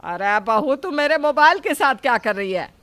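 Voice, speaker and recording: Woman acting a high-pitched, scolding mother-in-law. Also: expressive, moderate pace, no room sound. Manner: sharp, nagging, exclamatory. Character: scolding, exasperated older Indian mother-in-law.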